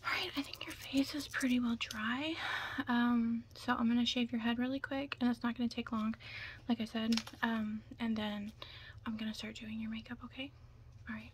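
Young woman, soft breathy ASMR, often whispered, higher-pitched, reassuring close personal attention, clear background noise. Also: steady tone, moderate pace. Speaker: ASMR performer playing a makeup stylist.

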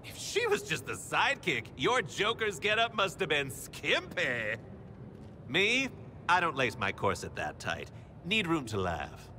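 Flamboyant clown villain for a game, echoey, loud rumble behind. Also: male voice, wildly swooping pitch, measured pace, full character voice. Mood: mocking. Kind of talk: video game character acting.

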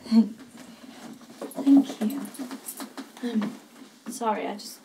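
A woman's voice: mid-range voice, extremely slow, gravelly, background noise. A woman acting a defensive, easily upset young fiancée in a naturalistic film drama, with music under her voice. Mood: shaken, polite. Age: young adult. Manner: indignant, emotional. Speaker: actor in short film. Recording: loud music under dialogue.

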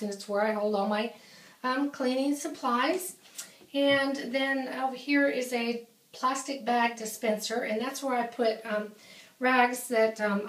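A woman's voice: fairly high pitch, fairly bright tone. Chatty woman touring her laundry room. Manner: chatty, many ums and restarts. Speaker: home organizing vlogger. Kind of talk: casual home tour narration. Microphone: handheld camera, off screen. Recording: echoey small tiled room.

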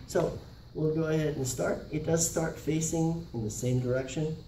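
A man's voice: a little high, normal speed, warm tone. Distant, roomy middle-aged male dance instructor. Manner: instructional, short phrases with counted beats. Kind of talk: dance lesson demonstration with step counting. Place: large carpeted indoor multipurpose room. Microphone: camera across the room. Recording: roomy, distant camera mic, faint noise.

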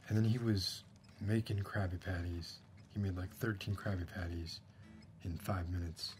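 A middle-aged man playing a shifty, awkwardly neighborly backwoods man in a quiet, deadpan, halting ASMR roleplay. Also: low voice, close to an everyday voice. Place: dim indoor room. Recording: close recording with music behind.

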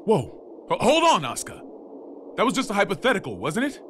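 An actor playing a blunt, agitated older president who speaks with urgent disbelief in an animated game cutscene. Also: male voice, high voice, fairly quick, background noise. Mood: agitated, demanding. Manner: blunt, incredulous, urgent questioning.

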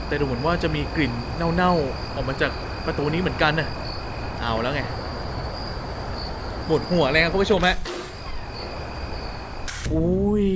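A chatty, expressive man from Thailand hosting a casual horror reaction, commenting and exclaiming to viewers. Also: a little high, background noise. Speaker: host of a horror reaction channel.

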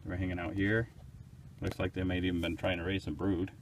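A man's voice: low voice, flat delivery, a little fast, slight background noise. A man casually narrating as he inspects his beehives outdoors, hesitant and unsure, thinking aloud. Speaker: beekeeper.

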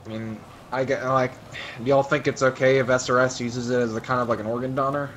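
A man's voice: medium pitch, level tone, fairly quick, fairly bright tone. Casual man with faint background noise. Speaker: roleplay gamer. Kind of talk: casual in-character roleplay chat.

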